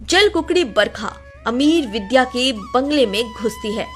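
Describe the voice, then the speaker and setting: A woman's voice: high voice, very animated, measured pace. A woman narrating a scripted cartoon moral story as its storyteller.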